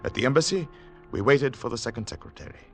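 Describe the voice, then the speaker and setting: An actor playing an earnest, weary government agent in a 1940s radio drama, speaking formally and deliberately, at times halting. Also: male voice, mid-range voice, animated, vocal fry, faint music behind. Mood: grave narration. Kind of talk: 1940s radio drama acting with first-person narration. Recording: 1940s radio broadcast recording.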